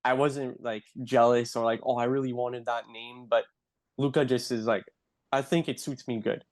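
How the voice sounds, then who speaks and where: A young adult man from Canada with a Canadian accent, a guest in a casual interview, talking in a relaxed way and saying "like" often. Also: medium pitch, moderate pace. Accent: Canadian English. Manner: relaxed, filler-heavy, says "like" often.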